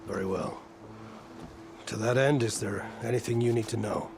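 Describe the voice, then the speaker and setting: An actor playing a weary, proud older admiral of a stranded fleet in a video game, speaking in a formal, grave, wry way. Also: male voice, medium pitch, moderate pace, vocal fry, background noise, close to an everyday voice. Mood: grave, measured. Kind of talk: cinematic video game acting. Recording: music behind the voice.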